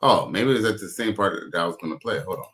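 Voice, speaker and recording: A man's voice: low voice, expressive, quick pace, fairly bright tone. A man hosting a casual reaction commentary, rambling and profane, often stopping to search for a word. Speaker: commentary show host.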